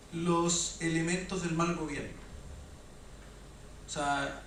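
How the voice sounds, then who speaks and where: A man speaks as a panelist, building his argument in a measured, reflective way. His voice sits fairly high for a man. He sounds far from the microphone in a very echoey lecture hall with faint noise behind. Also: a bit bright. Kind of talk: panel discussion talk.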